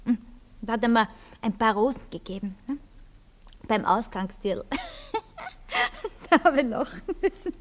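An actress playing a naive, stubborn, tipsy young sweetheart in a radio play, halting and drawling, with a Viennese accent. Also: female voice, fairly high pitch, natural voice. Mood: artless reminiscing. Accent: Viennese Austrian. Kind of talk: theatrical German radio play dialogue.

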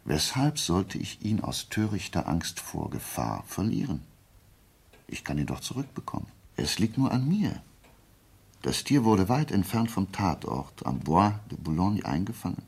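An actor playing a shrewd, self-assured gentleman detective in a German radio play, reasoning deliberately and with sly politeness. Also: sits low, sing-song, vocal fry, slightly rough, heavily acted. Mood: confident, reasoning.

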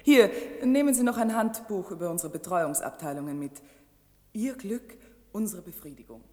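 A woman's voice: mid-range voice, animated, moderate pace, darkish tone, natural voice. An actress playing a cheerfully officious counsellor in a science-fiction radio play, reciting like a saleswoman. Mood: brightly officious. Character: cheerfully officious female counsellor at futuristic entertainment centre. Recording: long reverberant hall.

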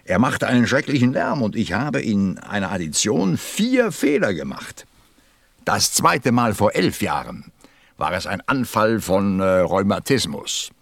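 An actor playing a busy, self-important middle-aged businessman in a radio play, with a gravelly, husky voice and a testy, muttering manner. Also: lowish voice, sing-song, measured pace, a bit bright, no echo, full character voice. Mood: irritated, pompous. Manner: pompous, testy, muttering, swooping. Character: busy, self-important 54-year-old businessman counting stars.

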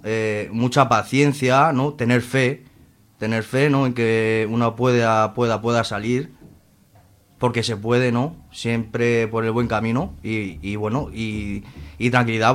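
A man's voice: mid-range voice, a bit bright. A man in his late twenties with a Madrid accent, answering informally as an interview guest, hesitant, repeating words and often adding tag questions. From Madrid, Spain.

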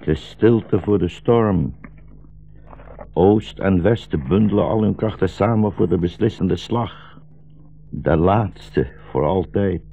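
An actor playing a weary, bitter old blind rabbi fleeing war, solemn and brooding, in a 1960s Dutch radio drama. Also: male voice, sits low, slow, creaky, dark tone, heavily acted. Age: older. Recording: dull, muffled 1960s radio recording.

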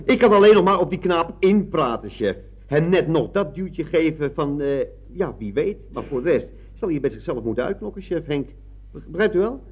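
Earnest, swooping underling. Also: male voice, a little high, sing-song, normal speed, breathy edge, exaggerated voice. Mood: earnest, proud. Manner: formal, obsequious, earnest. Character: earnest, deferential male employee, naively idealistic mentor. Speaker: actor in a radio comedy serial. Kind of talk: Dutch radio comedy acting, around 1970. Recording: dull old radio recording.

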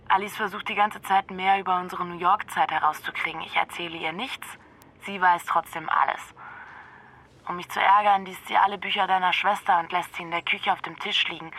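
An actress playing a sardonic, guarded young doctoral student in a radio drama, with a dry, cutting delivery. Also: female voice, medium pitch, bright, forceful tone, poor audio. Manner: dry, cutting, matter-of-fact.

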